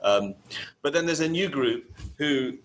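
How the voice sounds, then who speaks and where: A man's voice: fairly high pitch, lively intonation, measured pace, rough recording. A man who grew up in Kenya, chatting as an interview guest and speaking hesitantly with many restarts. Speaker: guest, property finance specialist. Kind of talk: casual interview chat. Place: home in Barcelona.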